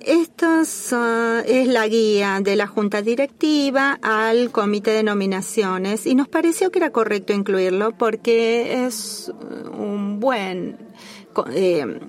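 Female simultaneous interpreter for a formal meeting: measured, formal delivery, mid-range voice, some restarts. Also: mid-range voice. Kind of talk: interpreting a formal working-group call.

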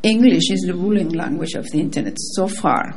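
A woman's voice: on the low side, lively intonation, brisk, a little mellow. Spanish-accented woman over background noise. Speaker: panelist, government delegate. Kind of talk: prepared but informal panel remarks.

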